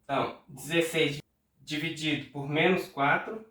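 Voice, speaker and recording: Brief: Brazilian man, nasal and congested from a cold, slightly high voice, patient math tutor reading out the arithmetic in an echoey room. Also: bright, forceful tone, recorded from a distance. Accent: Brazilian Portuguese. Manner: patient, talks through arithmetic aloud slowly. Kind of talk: step-by-step exam problem walkthrough.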